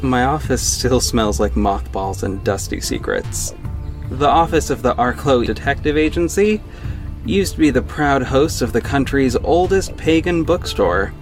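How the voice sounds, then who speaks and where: A young man narrating in the first person with a casual, wry delivery, his pitch sitting in a middle register. A light music bed runs quietly underneath. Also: big pitch swings, measured pace. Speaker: narrator and lead actor.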